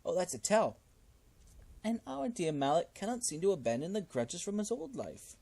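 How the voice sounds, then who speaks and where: A man's voice: sits high, very animated, moderate pace, creaky, mellow, voice pushed up, full character voice. A man voicing a fussy, genteel old cannibal cult leader in a game, with a polite, wordy, fluttery manner. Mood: fretful, genteel.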